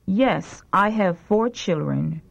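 A woman reading short prompt sentences slowly and clearly for a language drill. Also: medium pitch, expressive, dull audio. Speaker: language lesson reader. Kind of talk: slow repeat-after-me language drill.

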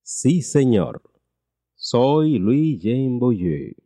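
A man teaching a language lesson, reading each phrase slowly and repeating it twice. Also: mid-range voice, expressive, mellow, no room sound, clean studio sound. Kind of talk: slow repeated translation drills.

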